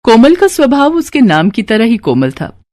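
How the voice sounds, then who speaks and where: A woman's voice: a little high, wildly swooping pitch, normal speed, warm tone, slight background noise. A woman narrating a scripted moral story as a storyteller.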